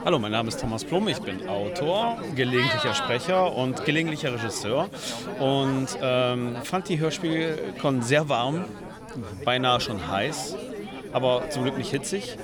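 Male interviewee, medium pitch, casual and somewhat halting, busy crowd in background. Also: animated. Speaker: interviewed author and podcaster. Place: busy audio drama convention. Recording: busy convention crowd behind voice.

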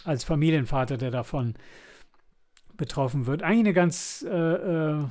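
High-pitched man chatting as podcast co-host. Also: animated, normal speed, a little mellow. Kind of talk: casual review chat.

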